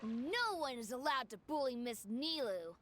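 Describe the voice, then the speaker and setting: A woman's voice: sits high, lively intonation, unhurried, background noise. A woman voicing a small, feisty, fiercely loyal child in a video game, eager and defiant. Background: music.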